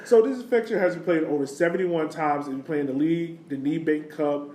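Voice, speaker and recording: A man's voice: a little high, brisk, dark tone. A man co-hosting a podcast with casual, conversational sports chat. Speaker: podcast co-host. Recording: echoey room, faint background noise.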